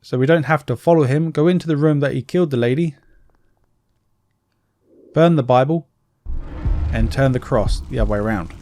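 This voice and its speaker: A man's voice: mid-range voice, moderate pace, pauses often, dark tone. A man giving casual, informal gameplay commentary, explaining things step by step in plain words. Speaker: video game walkthrough narrator. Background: game music.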